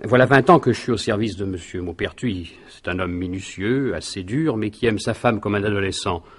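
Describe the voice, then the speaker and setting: An actor playing a loyal older manservant in a French radio drama, formal, deferential and hesitant. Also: male voice, fairly low pitch, brisk, close to an everyday voice. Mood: shaken, confiding. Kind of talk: 1950s-style French radio detective drama acting.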